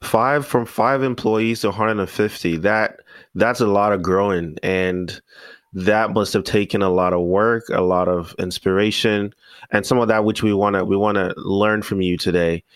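A man's voice: lowish voice, steady tone, clean tone, no room sound. A man hosting an interview, asking questions with eager praise. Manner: enthusiastic, praising, quick bursts. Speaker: interview host.

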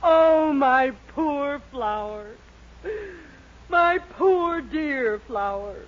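A woman's voice: high voice, lively intonation, very slow, heavily acted. Bossy american landlady wife, theatrical, voice swooping all over, muffled old radio comedy. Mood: lamenting. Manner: domineering, theatrical, sharp. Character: imperious, melodramatic middle-aged landlady and wife. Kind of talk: 1940s radio sitcom acting. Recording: muffled, hissy old 1940s radio recording.

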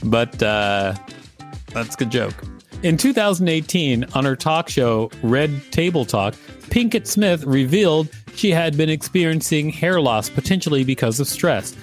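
A man's voice: fairly high pitch, animated, unhurried, faint music behind. A man hosting a podcast, reading an article aloud and adding casual commentary. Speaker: podcast host.

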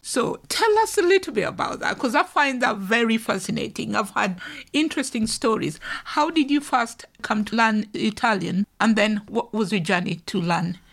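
Woman host from Kenya, interviewer, curious, encouraging, high voice. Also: lively intonation, normal speed, vocal fry, a bit bright. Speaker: podcast host.

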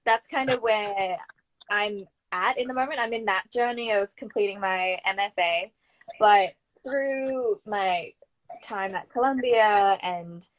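A woman's voice: mid-range voice, slow, dull audio. Young Australian woman chatting casually. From Brisbane, Australia. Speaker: guest, actor and acting student. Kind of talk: casual interview chat.

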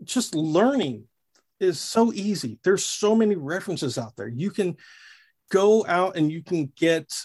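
A middle-aged man chats about his work as a voice actor. His voice is a little husky and sits a touch high, and his pitch jumps around a lot as he talks with animated energy. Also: measured pace. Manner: animated, demonstrates character voices mid-sentence. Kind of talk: casual interview chat.

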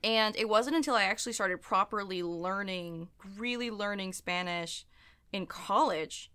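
A woman's voice: medium pitch, unhurried, sharp tone, clear, resonant tone. A young American woman interviewing a guest, chatting casually and saying "like" often. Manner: friendly, chatty, uses "like" often.

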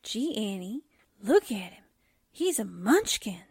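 A woman's voice: mid-range voice, sing-song, slow, a little mellow, slightly rough, exaggerated voice. An actress playing a cheeky, wisecracking newspaperwoman sidekick with a slangy, playful manner in a 1940s-style radio drama. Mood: amused.

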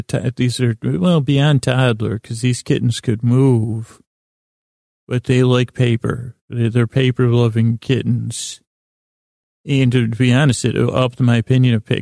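A man hosting a bedtime sleep podcast, delivering a meandering monologue in a halting, stammering way that often trails off. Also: mid-range voice, vocal fry, dark tone, no room sound, studio quality.

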